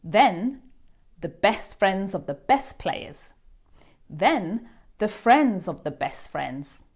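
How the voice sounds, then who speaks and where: Slow, animated woman reading to children. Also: mid-range voice, slightly rough. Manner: expressive, addressing young children directly. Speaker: host of a children's read-aloud channel. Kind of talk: children's storybook read-aloud.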